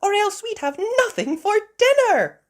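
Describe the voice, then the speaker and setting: A woman's voice: very high voice, sing-song, normal speed, voice pushed up. A woman voicing a fretful mother sheep in a children's storybook. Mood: relieved.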